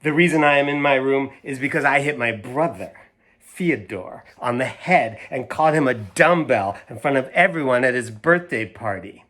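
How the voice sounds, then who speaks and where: An indignant little girl from a picture book read aloud by a man in his own middle register. The delivery is emphatic and deliberate, full of stubborn, self-righteous drama. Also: medium pitch, a bit bright, close to an everyday voice. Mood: sulky, defiant.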